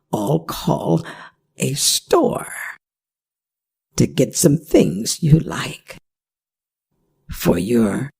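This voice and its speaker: A performer voicing a worried, gentle older werewolf mother in an ASMR audio drama, speaking in halting, pleading phrases. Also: mid-range voice, gravelly, husky, heavily acted. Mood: gentle recounting.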